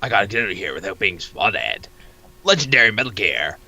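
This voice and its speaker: A man's voice: fairly low pitch, very animated, fairly quick, vocal fry, bright, forceful tone, no room sound, full character voice. A man voicing a melodramatic parody of a sneaking action-game hero, declaiming in mock drama. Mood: urgent, mock-dramatic.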